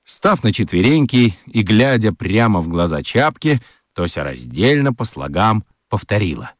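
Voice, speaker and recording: A man narrating a children's radio play as an expressive storyteller. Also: on the low side, moderate pace, dry recording, studio quality.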